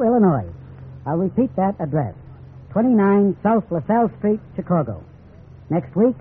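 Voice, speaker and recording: A man with a fairly high voice reads a formal 1950s radio address in measured phrases, as a counselor would. The recording is thin and narrow, with light hiss. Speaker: law school dean summarizing as program counselor.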